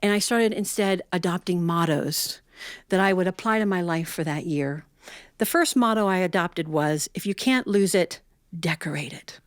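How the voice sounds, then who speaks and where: A middle-aged woman telling humorous personal stories to an audience in a folksy, animated way, acting out bits of dialogue. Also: on the low side, moderate pace. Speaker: storyteller.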